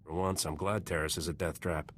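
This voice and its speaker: Game casting: roguish space gunslinger, deepish voice, quick delivery, dry sarcasm. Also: male voice, flat delivery, fairly bright tone, a little hiss or hum. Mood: wry, challenging. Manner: dry, sardonic. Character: cocky, wry gunslinger smuggler captain, a man. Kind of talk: video game voice acting.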